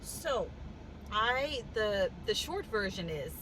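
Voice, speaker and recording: A woman with a cough telling a story in a casual vlog, animated and wry, laughing as she goes, sitting in a car. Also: medium pitch, slow, background noise. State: congested with a cough. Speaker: vlogger. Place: in a car with the air conditioning on.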